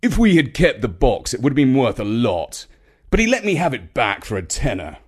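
Man playing a breezy, drawling, animated uncle. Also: mid-range voice, normal speed, slightly rough. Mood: confiding, triumphant. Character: breezy, charmed, self-assured younger or middle-aged uncle.

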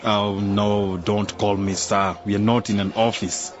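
An actor playing a scheming male politician who offers a bribe, smooth and coaxing in a radio drama. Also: fairly low pitch, flat delivery, unhurried, a little hiss or hum, close to an everyday voice. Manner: smooth, coaxing, then threatening. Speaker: member of parliament. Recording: dull lo-fi radio drama recording.

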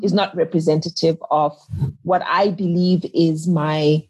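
A woman from Zimbabwe in her thirties talks as an interview guest. Her husky, low voice moves earnestly and reflectively, often restarting a thought as she works it out. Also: steady tone, a little slow, darkish tone.

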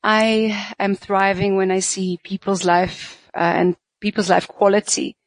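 A woman's voice: medium pitch, little pitch movement, a little slow, no echo, rough recording. A woman in her forties from Israel, a guest in an interview, speaking earnestly and searching for her words with many fillers. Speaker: guest, pleasure activist. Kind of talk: reflective interview answers.

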